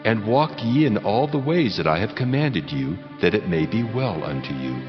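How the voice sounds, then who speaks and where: Stern, majestic God for dramatized scripture: very low voice, solemn commands, muffled sound. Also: male voice, very animated. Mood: stern, commanding. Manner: solemn, commanding, deliberate declamation. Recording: cavernous long reverb, muffled, music underneath.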